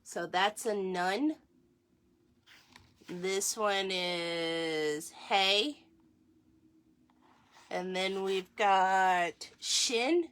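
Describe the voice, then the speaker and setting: Bright-voiced woman reading aloud, unhurried. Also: medium pitch. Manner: slow, clearly phrased reading, addresses young listeners directly. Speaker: story time host reading aloud. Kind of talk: children's read-aloud with casual asides.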